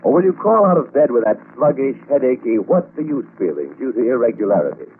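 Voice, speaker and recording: A man's voice: mid-range voice, very animated, fast talker, narrow, tinny audio. A male announcer reading a 1940s radio advertisement in a polished, persuasive, emphatic manner. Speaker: commercial announcer. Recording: thin, noisy vintage radio recording.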